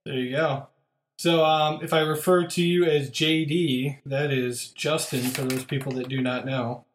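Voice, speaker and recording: A man's voice: a little high, steady tone, unhurried, echoey room, a little hiss or hum. A man hosting a podcast, chatting casually and upbeat with lots of fillers. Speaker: podcast host.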